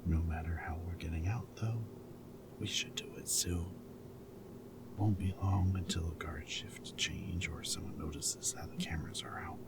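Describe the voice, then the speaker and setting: A male actor plays a very low-voiced rebel man in a dystopian audio roleplay. He is protective and defiant, with a teasing, flirty streak and a wry, intimate delivery. There is sound behind his voice. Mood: relieved, wry. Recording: background music, loud ambient noise in places.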